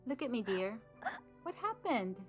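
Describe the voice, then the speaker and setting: An actress playing a protective, anxious mother in an audio drama, expressive and full of concern. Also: on the high side, a little slow. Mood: tender concern. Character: protective, anxious mother, tender with her child. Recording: music under the voice. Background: music.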